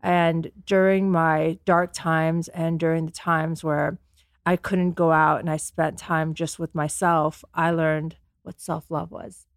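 A woman, calm and earnest, telling personal stories as a podcast guest. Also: low-pitched, slow, vocal fry, dry recording, studio quality. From United States, born in Afghanistan. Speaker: podcast guest, company founder. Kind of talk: personal storytelling in an interview. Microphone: close podcast microphone.

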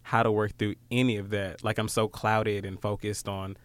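A man's voice: lowish voice, flat delivery, normal speed, no room sound. A man in his late twenties with an American accent, talking casually and reflectively in an informal conversation. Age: late 20s. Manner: informal, reflective, acts out quoted remarks.

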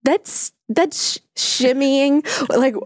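A woman's voice: high voice, extremely slow, dry recording. A woman hosting a podcast in casual banter between friends, with a blunt, teasing, sweary manner. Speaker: pop culture podcast host.

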